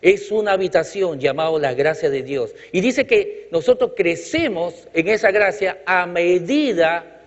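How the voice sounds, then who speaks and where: Man preaching, from Chile, fairly high voice, rhythmic emphatic delivery, lo-fi hall recording. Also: lively intonation, a little slow. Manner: emphatic, rhythmic repetition, light jokes. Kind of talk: sermon with congregational call-outs. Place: church hall. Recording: reverberant hall, dull lo-fi sound.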